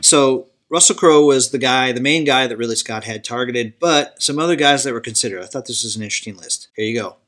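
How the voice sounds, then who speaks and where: A man hosting a podcast, casually leading the talk through film trivia in an easygoing way, quick to laugh. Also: mid-range voice, fairly bright tone, soft background music. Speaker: podcast host. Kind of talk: casual movie chat.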